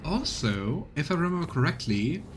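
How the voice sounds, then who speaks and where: A man's voice: fairly high pitch, big pitch swings, normal speed, slight background noise. A man doing casual let's play commentary, chatting as he thinks aloud and reads game text. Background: faint game music.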